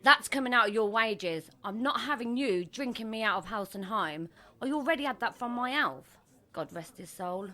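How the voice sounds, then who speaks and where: An actress playing a gruff, sharp-tongued older tavern keeper in a period drama, blunt and scolding. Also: female voice, a little high, lively intonation, normal speed. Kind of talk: theatrical period drama acting.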